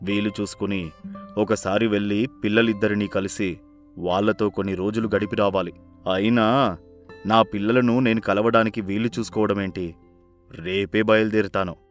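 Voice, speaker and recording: A man's voice: on the low side, fairly even intonation, normal speed, faint music behind, natural voice. A man playing an authoritative, caring older village father who instructs and scolds in a cartoon moral story. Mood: reflective. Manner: firm, instructive. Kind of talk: animated moral-story voice acting.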